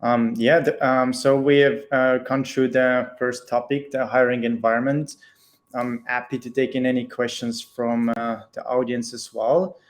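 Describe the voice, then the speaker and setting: A man moderates a live online Q&A, reading out questions. His delivery stays flat and even, broken by frequent ums and uhs. He comes through a video call. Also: mid-range voice, measured pace. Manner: hesitant, many ums and uhs. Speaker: webinar host.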